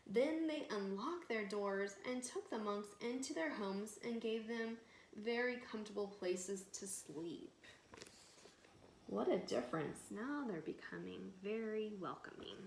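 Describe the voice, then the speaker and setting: Female read-aloud storyteller, unhurried and teacherly, expressive picture-book delivery, echoey room with faint noise. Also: medium pitch, creaky.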